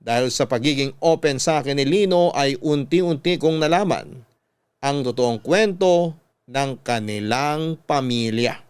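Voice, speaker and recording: A man with a Filipino accent reading out a young woman's love letter as scripted radio narration, measured and heartfelt. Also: medium pitch, clean tone, dry recording, lightly acted. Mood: reflective, heartfelt.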